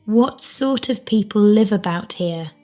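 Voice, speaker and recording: A polite, inquisitive storybook girl played by a woman, with a medium pitch. She talks precisely and takes everything literally, with soft music behind her. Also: lively intonation, measured pace, natural voice. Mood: polite, inquiring. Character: polite, curious, literal-minded little girl.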